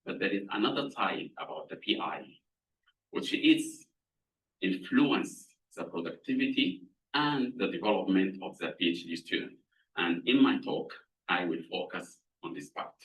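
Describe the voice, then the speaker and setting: A middle-aged man from Sudan, speaking, gives an earnest, measured lab introduction as a professor, pausing to find words. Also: sits low, a bit bright. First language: Arabic. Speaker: professor presenting his lab. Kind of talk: prepared lab introduction talk. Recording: voice somewhat far from mic.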